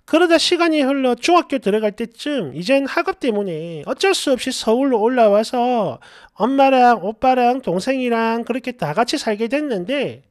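A man's voice: extremely high pitch, no echo, clean studio sound. A middle-aged man hosting a story channel, reading a first-person story aloud in a colloquial, exasperated, dramatized way with asides.

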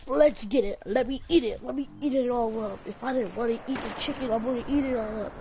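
A woman's voice: on the high side, fast. Woman gamer, bossy and joking, dull lo-fi mic sound. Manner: playful, teasing, bossy with teammates. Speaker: gamer streaming with friends. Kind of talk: casual game chat. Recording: dull lo-fi sound, loud game noise behind. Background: loud gunfire and game sounds.

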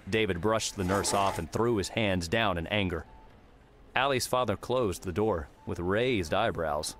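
A man's voice: low-pitched, a little slow, fairly bright tone. A man narrating scripted third-person fiction as an audiobook narrator. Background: music.

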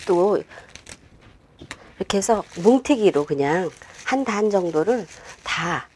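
한 중년 여성 꽃꽂이 강사가 실내 스튜디오에서 작업을 하며 설명하고, 말끝에서 자주 망설이며 혼잣말처럼 속삭이듯 말해요. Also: female voice, mid-range voice, expressive, darkish tone, a little hiss or hum. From Korea. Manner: unhurried, talks while working, many fillers and half-whispered asides. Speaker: flower arrangement instructor. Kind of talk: demonstration lesson while working. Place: indoor studio with a flower table.

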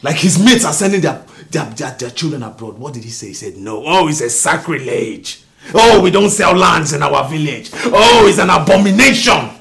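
An actor with a Nigerian accent playing a bitter self-made husband who rants at his wife about his upbringing, swinging from low mutters to shouts. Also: lively intonation, normal speed, vocal fry, hardly any pauses. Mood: angry. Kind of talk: melodrama acting.

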